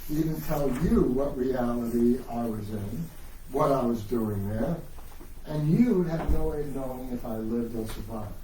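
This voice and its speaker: A man's voice: medium pitch, measured pace, warm tone. A man giving a live dramatic reading of a novel in a bookshop, moving between narration and dialogue. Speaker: author reading from own novel. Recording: echoey distant room recording with noise.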